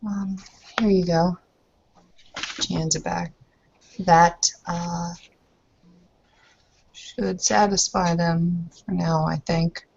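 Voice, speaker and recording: Female roleplayer, slightly low voice, casual mix of dialogue and narration, dullish recording. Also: fairly even intonation, drawn-out words, vocal fry, long pauses, a little mellow, highs cut off, a little hiss or hum. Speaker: tabletop roleplayer.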